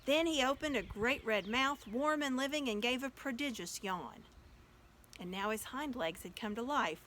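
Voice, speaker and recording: A woman reading a children's story aloud as an expressive storyteller. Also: fairly high pitch, moderate pace.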